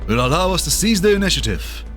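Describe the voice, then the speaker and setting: Man acting as a lively, rousing politician. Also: a little high, fast talker. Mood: proud, resolute. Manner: rhetorical, declamatory. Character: folksy, rousing male vice president making a public speech. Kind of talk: political oratory. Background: faint music.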